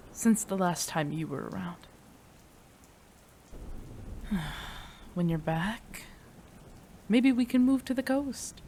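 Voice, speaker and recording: A woman's voice: lowish voice, a little mellow, slight background noise. A woman playing a devoted, desperate female mad scientist in an intimate, emotional audio roleplay, addressing a beloved. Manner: emotional, breathy sighs, addresses a beloved tenderly.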